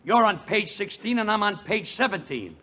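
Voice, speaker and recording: A middle-aged man, hosting 1940s radio comedy banter with gravelly wisecracks. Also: high voice, sing-song, moderate pace, bright, forceful tone. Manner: gravelly, wisecracking, laughing at his own jokes. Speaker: comedy show host. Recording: noisy old radio broadcast.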